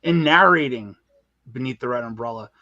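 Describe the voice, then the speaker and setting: Man, medium pitch, casual interview guest, emphatic, repetitive phrasing, slangy, dry room sound. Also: moderate pace, bright, forceful tone, dry recording, highs cut off. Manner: emphatic, repeats phrases, informal slangy wording. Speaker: guest, audio drama creator and narrator. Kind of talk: casual podcast interview chat.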